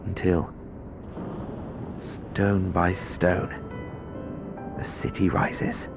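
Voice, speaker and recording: An actor playing an earnest, thoughtful, idealistic man, an adventurer in a fantasy game, with measured, formal archaic speech. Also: deep voice, level tone, vocal fry, over music, heavily acted. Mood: hopeful, reverent. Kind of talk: scripted fantasy game dialogue.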